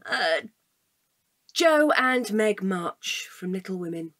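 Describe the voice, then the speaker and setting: An actress playing a pedantic, logical, wearily patient woman with a precise, deadpan manner in a comedic audio drama. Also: mid-range voice, takes it slowly. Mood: matter-of-fact.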